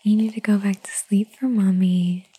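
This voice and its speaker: A woman doing a whispered ASMR roleplay, talking softly and soothingly in a gentle whisper. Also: medium pitch, fairly even intonation, normal speed, husky, no room sound. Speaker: ASMR performer.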